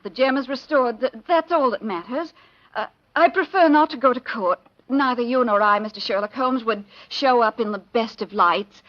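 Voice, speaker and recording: A woman's voice: a little high, normal speed, lightly acted. A woman playing an agitated, haughty young aristocratic lady in an old radio mystery, formal and flustered in her speech. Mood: defensive. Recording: muffled vintage radio recording.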